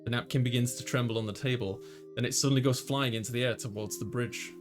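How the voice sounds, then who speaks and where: A man's voice: mid-range voice, steady tone, fast. A man reading a visual novel's narration and dialogue aloud in a dramatized way as he plays. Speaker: let's player voicing a visual novel. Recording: music playing behind the voice. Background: clear background music.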